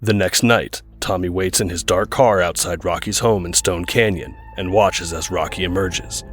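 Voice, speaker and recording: Very deep-voiced male narrator over music. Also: normal speed, a bit bright. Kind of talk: scripted drama narration.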